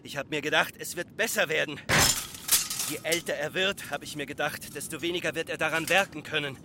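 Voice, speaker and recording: Radio drama: stubborn, embittered male villager played by a man, high-pitched, brooding, clear outdoor noise behind. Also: steady tone, brisk, close to an everyday voice. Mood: bitter, brooding. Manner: brooding, repetitive, poetic phrasing. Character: bitter, stubborn villager resenting a relative's machine. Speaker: actor.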